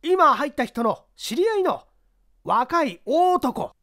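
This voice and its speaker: A reader voicing a brash, impatient newspaperman in an audiobook mystery, with blunt, rough colloquial speech. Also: high-pitched, sing-song, takes it slowly, a bit bright, voice pushed up, exaggerated voice. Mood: probing. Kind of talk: audiobook character acting.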